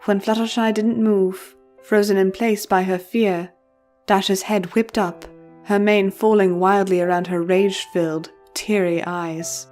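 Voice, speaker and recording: A woman's voice: mid-range voice, steady tone, measured pace, darkish tone, faint music behind. A woman narrating a story in a dramatic, scripted reading style.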